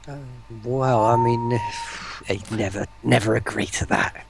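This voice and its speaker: Gravelly man, explanatory. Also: mid-range voice, fairly even intonation, measured pace. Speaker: guest, voice pack maker. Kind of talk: live stream interview chat.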